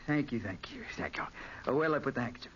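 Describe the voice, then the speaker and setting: Radio drama lead: reckless tipsy gambler, bright highish voice, swinging lively pitch, dull old recording. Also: male voice, quick pace. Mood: woozy. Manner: flippant, tipsy, theatrical. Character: reckless, tipsy American gambler, cocky and carefree. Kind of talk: 1940s radio acting. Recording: muffled, noisy 1940s radio recording.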